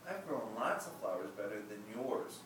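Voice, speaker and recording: A man's voice: animated, moderate pace, a bit bright. A man reading a storybook, voicing an earnest, modest young boy with a raised, pleading tone. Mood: defensive. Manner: higher-pitched, pleading. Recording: echoey room, distant mic, background noise.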